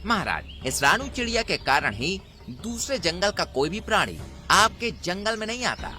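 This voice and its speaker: A man's voice: sits high, very animated, moderate pace, bright, forceful tone, full character voice. A man voicing a cunning, menacing villain wolf in a children's cartoon, boastful and sly in delivery. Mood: sly, accusing. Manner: exaggerated, sly, threatening delivery. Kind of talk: Hindi children's cartoon voice acting.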